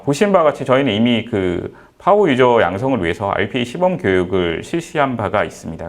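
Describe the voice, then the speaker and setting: A man delivers a business presentation in a slightly low voice. His pitch moves around a great deal, and his phrasing is halting, with self-corrections along the way. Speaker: conference presenter, utility company manager.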